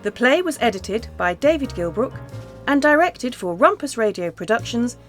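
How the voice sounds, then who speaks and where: A woman's voice: on the high side, very animated, moderate pace. A woman announcer reading scripted radio play credits in a formal announcement style. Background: guitar music.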